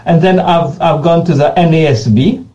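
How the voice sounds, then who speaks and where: Earnest pastor, Creole first language. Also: male voice, fairly high pitch, moderate pace, mellow, dull audio. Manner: earnest, repeats words and restarts often. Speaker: pastor being interviewed. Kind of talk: unscripted interview answers.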